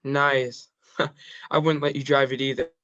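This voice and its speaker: A man's voice: medium pitch, flat delivery, a little slow, pushed and bright, dry recording, muffled recording. A man reading the part of a cautious, loyal young teenage boy in a table read of a script. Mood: friendly. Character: cautious, loyal fourteen-year-old boy, Gerald.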